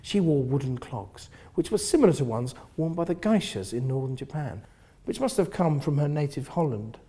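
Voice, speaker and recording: Expressive husky man reading a story. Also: fairly high pitch, moderate pace. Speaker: reader of a novel. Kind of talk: dramatic first-person literary narration.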